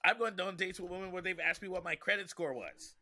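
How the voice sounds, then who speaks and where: A man's voice: high-pitched, quick pace, bright, forceful tone. A man in casual podcast conversation, talking emphatically and often repeating himself as he makes his point.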